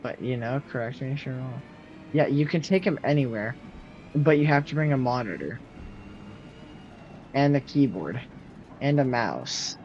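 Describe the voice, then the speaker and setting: Teen guy gaming at home talking to chat, loud projecting voice, kinda muffled mic. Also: mid-range voice, lively intonation, normal speed, pauses often, a little hiss or hum. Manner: rambling, answers chat messages. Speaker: streamer. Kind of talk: casual chat with viewers while gaming. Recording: slightly muffled, faint game music behind.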